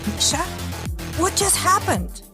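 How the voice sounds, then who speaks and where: Woman acting an anxious high-voiced woman on the run. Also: big pitch swings, slow pace, full character voice. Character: anxious, urgent woman fleeing with a wounded companion. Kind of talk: audio drama acting. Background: loud music.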